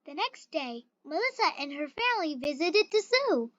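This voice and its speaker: Very high, bright young-sounding storybook reader. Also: sing-song, moderate pace, dry recording, highs cut off. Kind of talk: children's picture book read aloud.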